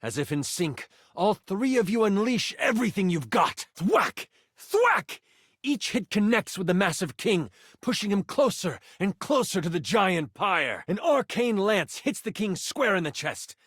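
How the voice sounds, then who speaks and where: An actor playing a warm-hearted dungeon master in a video game, narrating fantasy adventures theatrically with dramatic pauses. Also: male voice, high-pitched, sing-song, slightly rough, dry recording, studio quality, exaggerated voice. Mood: dramatic, suspenseful.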